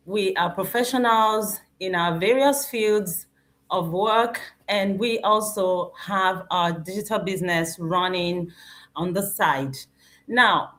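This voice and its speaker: A woman hosting a live stream, speaking in a measured, earnest way with some restarts. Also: medium pitch.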